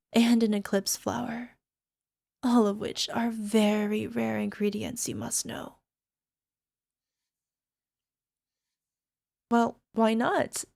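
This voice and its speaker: A woman playing a young, sweetly possessive yandere alchemist girlfriend in an ASMR roleplay, coaxing softly and sometimes slipping toward a whisper. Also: fairly high pitch, gravelly, dry recording, clean studio sound. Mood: proud, playful. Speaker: voice actor. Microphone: close microphone, dry.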